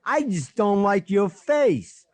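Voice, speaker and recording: A huge, mean eighth-grade boy , acted by a man menaces in a children's audiobook. He taunts slowly in a pushed-up voice, with a dry, close sound. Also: sits high, big pitch swings, no echo, voice pushed up, full character voice. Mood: menacing.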